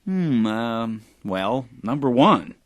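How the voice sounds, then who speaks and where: An actor playing a pushy, sardonic boss of a struggling internet radio company in an audio drama. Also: male voice, mid-range voice, big pitch swings, slow pace. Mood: earnest.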